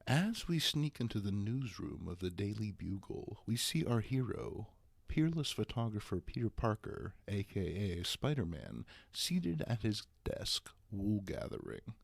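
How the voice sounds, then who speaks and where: A man's voice: lowish voice, sing-song, unhurried, gravelly, husky. A man hosting a dramatic comic book reading, speaking in a theatrical, mock-grandiose way. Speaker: host and comic book reader. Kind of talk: theatrical introduction and dramatic storytelling.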